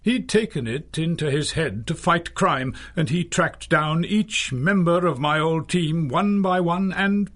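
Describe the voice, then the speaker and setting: An old masked magician, long retired from crime fighting, for a radio adventure. His voice is husky and a little high for a man. He speaks slowly and formally, with theatrical flourish and dry wit. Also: lively intonation, fairly bright tone. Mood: measured, reflective. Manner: theatrical, dryly witty, formal. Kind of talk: 1930s-style radio drama acting.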